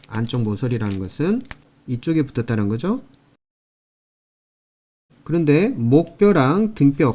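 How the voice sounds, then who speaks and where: Guy teaching a class, pitch jumps around a lot, repeats the key bits step by step. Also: warm tone. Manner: repetitive, step-by-step teaching with frequent emphasis. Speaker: anatomy lecturer. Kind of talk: explanatory lecture, drawing and working through review questions.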